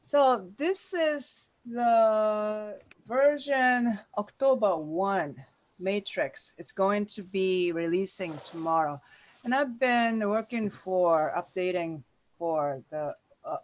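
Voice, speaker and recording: A woman presenting a workshop over a video call, halting and using many fillers. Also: mid-range voice. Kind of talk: online meeting presentation.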